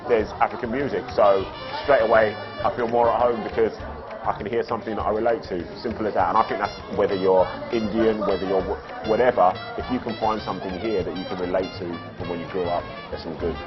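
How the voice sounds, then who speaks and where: A man's voice: fairly low pitch, steady tone, quick pace, muffled recording, music in the background. A man, talking reflectively in a documentary interview and often restarting his phrases. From East End of London.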